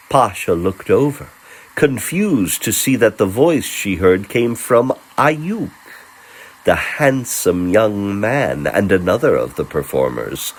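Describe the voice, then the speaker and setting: A man narrating a dramatic fantasy story in character, theatrical and suspenseful, with a gravelly, raspy voice. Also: on the low side, rough recording. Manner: theatrical, suspenseful, drawn-out delivery.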